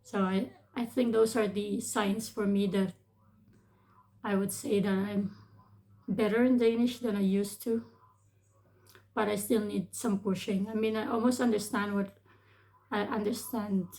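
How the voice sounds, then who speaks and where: Woman, Filipino accent, thirties, vocal fry, casual vlog advice, halting with restarts. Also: medium pitch, warm tone. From Philippines. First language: Tagalog. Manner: hesitant, with many restarts and "like". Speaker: vlogger.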